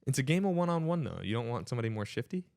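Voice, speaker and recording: A man's voice: medium pitch, sing-song, rapid-fire, gravelly, a little mellow, no room sound, clean studio sound. A young American man in casual sports chat as a podcast co-host, often posing questions to get debate going.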